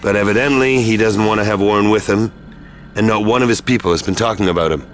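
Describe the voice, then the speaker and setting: A man's voice: on the low side, fast, faint music behind, lightly acted. A man playing a level-headed, businesslike operative in a sci-fi video game, speaking matter-of-factly. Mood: serious. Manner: matter-of-fact, questioning. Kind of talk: video game cutscene acting.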